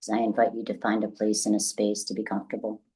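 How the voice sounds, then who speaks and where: A woman leading a guided meditation as the guide, speaking gently and deliberately in a soothing, instructional way with long pauses. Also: very deep voice, flat delivery, husky.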